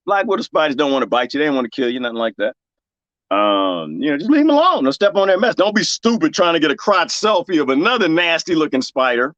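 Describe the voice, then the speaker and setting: Lively joking man with a Texas accent. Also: fairly high pitch, fast, dry recording, lo-fi sound. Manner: animated and joking, laughs often, talks straight to his chat. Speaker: livestream host reading viewer comments. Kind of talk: casual chat with viewers. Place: garden, planting cuttings.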